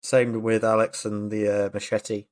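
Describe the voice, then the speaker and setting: A man's voice: fairly low pitch, little pitch movement, a little slow, a little mellow. A man casually narrating his actions as a player in a tabletop game.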